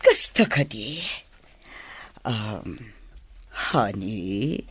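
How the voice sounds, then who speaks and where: Money-hungry sweetheart: gushing, wheedling, northern Philippine accent, leisurely lilting radio drama delivery. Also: female voice, very deep voice, expressive, drawn-out words, breathy edge, full character voice. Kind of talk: Ilocano radio drama acting.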